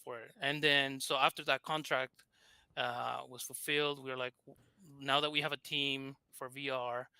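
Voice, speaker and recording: A man's voice: medium pitch, little pitch movement, normal speed, sharp tone, smooth, no echo. A man from Argentina with a thick Argentine Spanish accent, a game developer talking casually with hesitant fillers. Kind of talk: casual interview chat.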